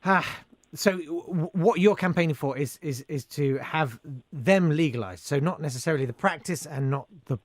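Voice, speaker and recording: Halting male radio host, dry sound. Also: fairly high pitch, no echo. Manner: halting, stammering over word starts. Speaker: radio host interviewing a guest. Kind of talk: casual radio interview.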